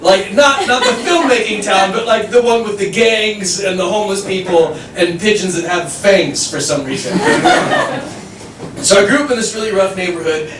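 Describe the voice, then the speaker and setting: American stand-up guy, pretty high voice, far off mic in an echoey club, animated self-mocking story. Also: normal speed. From United States. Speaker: stand-up comedian. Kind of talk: stand-up comedy set. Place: comedy club stage. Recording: echoey room, distant mic, audience noise.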